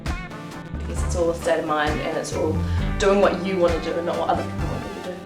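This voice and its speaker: A woman's voice: fairly low pitch, sing-song, brisk. A woman speaking in a wistful, thoughtful way in an interview. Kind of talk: interview talk. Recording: echoey and distant, with music underneath.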